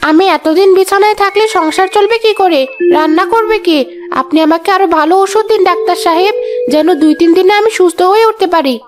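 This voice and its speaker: A woman playing a bossy, complaining elderly village mother-in-law in an animated drama, with a nagging, scolding manner. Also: very high-pitched, fast, faint music behind. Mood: plaintive. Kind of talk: animated horror drama acting.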